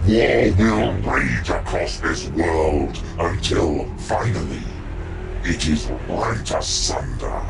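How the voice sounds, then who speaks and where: An actor playing a brutal, proud war god in a video game, with a gravelly, booming voice and a commanding, contemptuous manner. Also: male voice, full character voice, low-quality recording. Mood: scornful, threatening. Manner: commanding, contemptuous, slow and emphatic. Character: brutal, proud war god, last of an ancient warrior race. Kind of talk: video game villain voice acting. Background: music.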